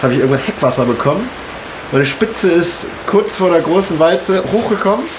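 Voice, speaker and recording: Junger Mann, lockerer Erzählton, halliger Raum. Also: male voice, a little high, lively intonation, moderate pace. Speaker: kayaker recounting the day. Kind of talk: casual storytelling to camera, slangy. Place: indoors in an unheated house. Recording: echoey room, noticeable background noise.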